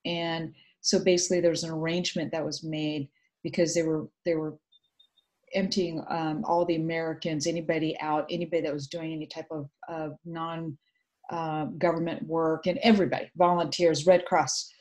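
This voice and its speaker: A middle-aged woman from Vietnam, Vietnamese her first language, telling her story thoughtfully in a video call interview with many pauses. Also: low voice, little pitch movement, a little mellow. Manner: reflective, hesitant with many fillers. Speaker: guest. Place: home room indoors. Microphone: video call webcam.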